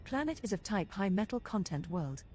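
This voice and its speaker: A woman's voice: medium pitch, sing-song, moderate pace, close to an everyday voice. A woman voicing an irreverent spaceship copilot, giving scripted game updates in a matter-of-fact, informative way. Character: female ship computer copilot, relaxed and irreverent. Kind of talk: scripted game companion voice lines.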